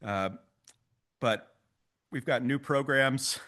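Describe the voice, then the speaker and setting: Bright-voiced man speaking at a meeting. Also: medium pitch. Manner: halting, many fillers and restarts. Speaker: nonprofit staff member giving a tribute. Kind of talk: casual speech at an annual meeting. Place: meeting hall.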